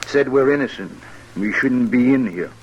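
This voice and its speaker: A man's voice: mid-range voice, animated, dull audio, natural voice. Man acting dim, amiable rustic outlaw. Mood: guileless. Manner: slow-witted, rustic, guileless. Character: dim-witted, amiable male outlaw prisoner in jail. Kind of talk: old-time radio western acting. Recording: noisy old-time radio recording.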